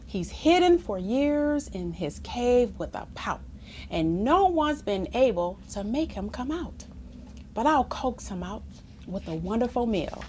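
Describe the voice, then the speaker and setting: Animated, unhurried woman reading a children's book. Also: medium pitch, slight background noise. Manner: animated, engaging, asks the listeners questions. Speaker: storytime reader. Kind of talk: expressive read-aloud of a children's picture book with asides to listeners.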